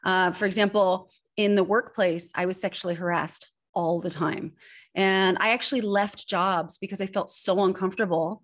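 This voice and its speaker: A woman's voice: mid-range voice, steady tone, moderate pace. A woman in her thirties with an American accent, speaking candidly and expressively as an interview guest in a conversational, storytelling style. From Northern California, United States. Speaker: guest, personal branding image expert. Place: indoor room at home. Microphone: video call microphone.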